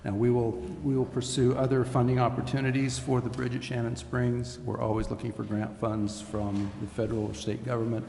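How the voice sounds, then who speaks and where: A man reports formally at a public meeting in a halting, deliberate way. His voice is creaky, mellow and very even in pitch. It echoes through a noisy chamber. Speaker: city official reporting to council. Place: indoor city council chamber.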